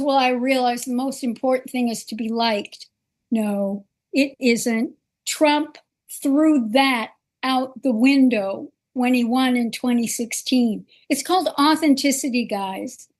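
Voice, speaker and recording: A woman hosts a political talk show in an earnest, emphatic way. Her voice sits high, and she takes her time, leaning on each point deliberately.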